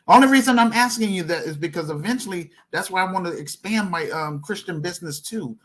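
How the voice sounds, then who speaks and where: A man hosting a podcast interview, asking questions and speaking haltingly with fillers. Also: sits high, fairly bright tone. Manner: encouraging, short interjections, halting. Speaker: podcast host. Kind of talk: casual interview talk.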